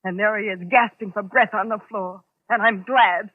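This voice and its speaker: A woman's voice: mid-range voice, fairly even intonation, normal speed, no room sound, close to an everyday voice. An actress playing a cold, bitter young secretary with anguish under her control, in intense 1940s radio melodrama style. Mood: bitter, defiant. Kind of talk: 1940s radio drama acting. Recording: muffled, band-limited vintage radio recording.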